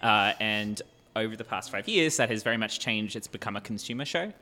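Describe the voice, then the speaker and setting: A man's voice: fairly low pitch, expressive, fairly quick, pushed and bright. Jet-lagged Australian man in his twenties. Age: 20s. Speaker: guest, narrative designer.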